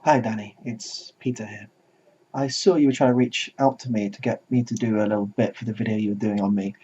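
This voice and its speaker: A man's voice: fairly low pitch, steady tone, a little fast, darkish tone, close to an everyday voice. A man voicing a defensive, misunderstood internet menace in a comic mock documentary, pleading and self-justifying. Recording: dull muffled sound with faint noise.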